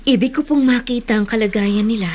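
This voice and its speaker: A woman's voice: on the high side, fairly quick, darkish tone, lightly acted. An actress playing a kindly, earnest wealthy woman employer with a hidden past in a Tagalog radio drama. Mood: troubled, earnest. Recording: noisy radio drama recording.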